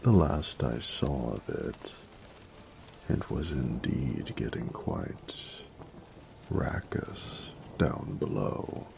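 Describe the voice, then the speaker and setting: A man voicing an ancient, regal dragon in a romantic audio roleplay, doting and flirtatious, with gravelly, breathy, often near-whispered, grandiose and formal speech. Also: very deep voice, lively intonation, dark tone, a little hiss or hum, heavily acted. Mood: fond, playful. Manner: grandiose, formal, measured phrasing. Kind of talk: romantic audio roleplay, slow intimate monologue.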